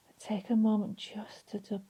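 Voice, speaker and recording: Woman, meditation instructor, mellow tone, level gentle intonation, soothing, unhurried pauses, guided relaxation. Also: mid-range voice, completely flat delivery. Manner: soft, breathy, soothing delivery with long pauses.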